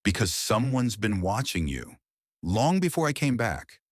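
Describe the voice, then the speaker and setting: A male narrator voicing a tired, haunted man in an audiobook thriller, speaking in a hushed, grave way. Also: lowish voice, sing-song, a little slow, no room sound, clean studio sound, pitched above the performer's own voice. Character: weary, haunted man with a secret.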